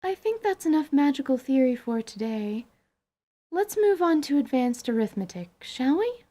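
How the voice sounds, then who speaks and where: A woman's voice: sits high, big pitch swings, normal speed, warm tone, pitched above the performer's own voice. A woman voicing an earnest, proper female spellcaster who teaches children, in a fantasy audio drama. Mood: brisk, composed.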